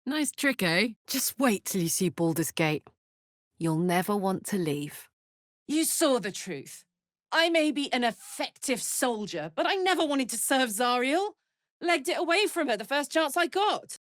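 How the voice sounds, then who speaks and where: Battle-hardened warrior woman, video game character, blunt, warm-hearted, very expressive pitch, unhurried, dry close recording. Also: no echo, heavily acted. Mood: playful, cocky, then earnest, bitter. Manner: blunt, swearing, swinging between playful and intense.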